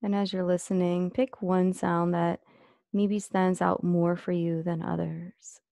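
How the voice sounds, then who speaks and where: A woman leading a guided meditation as an instructor, speaking gently and unhurriedly with long pauses. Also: on the low side, little pitch movement, vocal fry, no echo.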